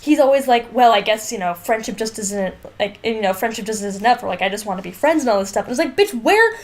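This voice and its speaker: A young woman with a somewhat high voice co-hosts a casual podcast. She talks in slang, swears freely and makes a show of being exasperated as she comments. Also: animated, fast. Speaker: podcast co-host.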